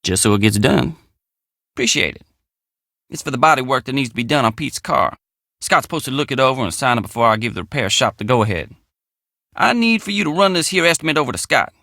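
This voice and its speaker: An actor playing a folksy, earnest, excitable young country handyman in a video game, with an American Southern accent and a colloquial drawl. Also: medium pitch, fast talker, vocal fry, dry recording, clean studio sound. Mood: matter-of-fact. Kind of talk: video game character dialogue.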